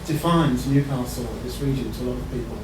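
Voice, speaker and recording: A man in the audience making a reflective, unhurried comment at a panel discussion. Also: medium pitch. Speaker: audience member. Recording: echoey room from far off, background noise.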